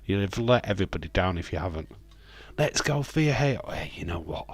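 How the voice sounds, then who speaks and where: A man with a slightly croaky voice hosting a live stream, chatting in a rambling, friendly way with lots of hesitant fillers. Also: deep voice, big pitch swings, brisk. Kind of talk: casual live watch-along chat, reading viewer comments.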